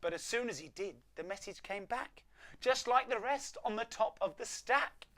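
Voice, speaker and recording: A performer reading a rhyming storybook aloud to children at home, with theatrical pauses and emphasis. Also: medium pitch, expressive, pushed and bright.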